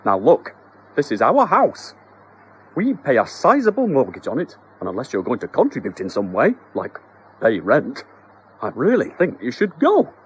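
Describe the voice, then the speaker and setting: A man's voice: medium pitch, huge pitch swings, normal speed, slight background noise, pitched above the performer's own voice. A man voicing a flustered middle-aged husband who tries to sound stern. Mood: mock-stern. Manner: mock-stern, pleading.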